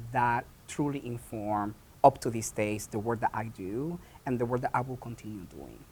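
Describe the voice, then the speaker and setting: A man from Puerto Rico, a theatre founder and director, answering interview questions in a halting way with many pauses and restarts. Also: mid-range voice.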